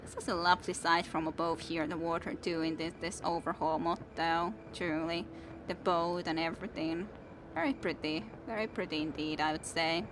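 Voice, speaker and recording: A woman's voice: low voice, fairly even intonation, normal speed, noisy background. Tired young woman streamer, worn voice. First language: not English. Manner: soft, musing, self-talking. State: tired, voice worn from long reading. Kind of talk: casual gameplay chat with viewers. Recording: game music in background.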